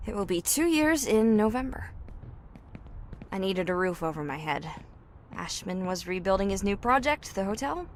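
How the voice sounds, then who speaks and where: An actress playing a timid, wistful young maid in a video game, speaking in a cautious, gently teasing way. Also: female voice, medium pitch, sing-song, normal speed, a little hiss or hum, lightly acted. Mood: wistful, curious. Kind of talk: video game cutscene acting.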